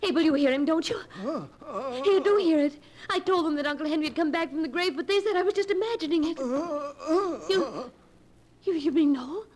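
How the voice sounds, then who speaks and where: Woman acting high-voiced, frightened young radio-drama heroine. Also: darkish tone, close to an everyday voice. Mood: frightened, bewildered. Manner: breathless, halting, repeating words. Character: frightened young woman fearing she is going insane. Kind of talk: old-time radio horror acting. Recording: noisy old radio recording.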